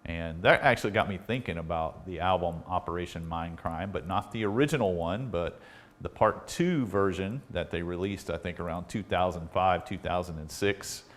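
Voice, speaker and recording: Low-voiced man casually demonstrating gear. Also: normal speed, fairly bright tone. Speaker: host of electronics repair videos. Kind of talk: casual product demonstration talk.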